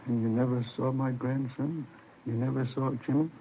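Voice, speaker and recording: A man's voice: mid-range voice, fairly even intonation, normal speed, natural voice. An actor playing a heartbroken, weary old grandfather in a 1950s radio drama. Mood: anguished. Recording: tinny, noisy old radio recording.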